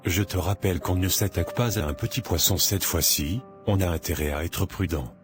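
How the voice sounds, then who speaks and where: Guy doing a jumpy gangster kid, whiny but ruthless, real low voice, music playing under it. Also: brisk, close to an everyday voice. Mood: anxious. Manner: insistent, complaining. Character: nervous, ruthless young male gang member. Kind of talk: animated drama dialogue.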